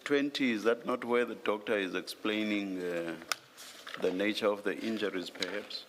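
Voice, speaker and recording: A man's voice: fairly low pitch, normal speed, noisy background. A man chairing a formal hearing, questioning hesitantly and repeating words as he thinks aloud. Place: hearing room.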